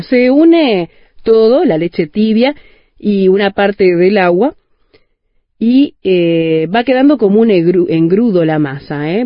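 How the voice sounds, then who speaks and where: A woman walks listeners through a recipe step by step in a chatty, informal way. Her voice sits at a middling pitch and sounds dry and slightly muffled. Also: no room sound. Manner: chatty, explains step by step with fillers. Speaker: radio co-host. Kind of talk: casual recipe explanation on radio. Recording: muffled, dry radio studio.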